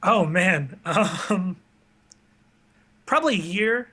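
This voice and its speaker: A man with a high-pitched, bright voice speaks as an interview guest. His delivery is casual and conversational, recorded in a bedroom. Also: expressive, takes it slowly, breathy edge. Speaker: interview guest, online video creator. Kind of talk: casual chat.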